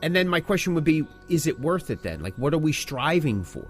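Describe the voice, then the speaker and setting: Man in lively, emphatic podcast talk. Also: a little high, brisk, soft background music. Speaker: podcast co-host and music interviewer. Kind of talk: conversational music industry podcast talk.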